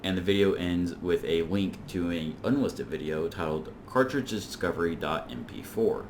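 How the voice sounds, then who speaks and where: A man's voice: deep voice, normal speed, heavy background noise. A man hosting an informal video essay, recapping and commenting in a rambling, conversational way. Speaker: video reviewer and host.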